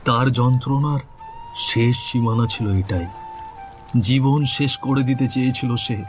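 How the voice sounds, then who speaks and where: A man narrating a scripted horror story as storyteller, in a solemn, theatrical manner. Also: medium pitch.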